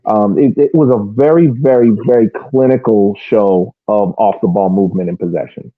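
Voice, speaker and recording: A man's voice: on the low side, moderate pace, no echo. A man co-hosting a podcast, giving casual, detailed match analysis, often restarting and repeating phrases as he builds his point. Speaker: podcast co-host. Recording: thin phone-like band-limited audio.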